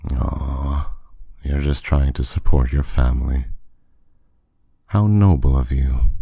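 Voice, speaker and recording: A man playing a powerful, courteous demon captor in an ASMR roleplay, speaking with smooth, teasing, condescending authority. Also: a bass voice, warm tone, dry recording, clean studio sound. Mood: teasing, amused. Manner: smooth, condescending, unhurried, with endearments.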